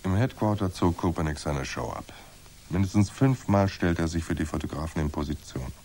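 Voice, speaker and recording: An actor playing a wry, world-weary private detective in a noir radio drama, speaking in a dry, sardonic, laconic way. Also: male voice, low voice, animated, a little fast, darkish tone, slight background noise. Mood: wry, detached.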